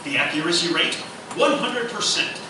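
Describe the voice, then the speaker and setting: A man's voice: sits high, lively intonation, moderate pace. A man playing a formal TV news anchor in a live radio theatre play. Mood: formal, measured. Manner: formal announcer delivery. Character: male TV news anchor, formal, flustered by breaking news. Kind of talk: scripted newscast parody in live radio theatre. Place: live stage performance in echoey room. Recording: echoey hall, distant mic, noisy.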